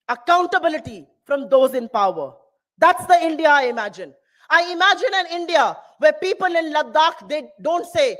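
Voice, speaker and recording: High-voiced woman giving a rousing speech. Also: a bit bright. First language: Hindi. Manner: rousing, repetitive rhetoric. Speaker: political speaker. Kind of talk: passionate public speech. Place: town hall.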